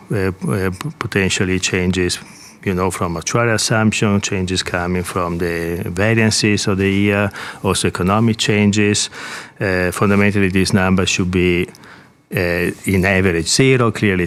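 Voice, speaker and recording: A man explaining figures as an executive presenter to analysts, hesitating often and repeating words as he goes. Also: low-pitched.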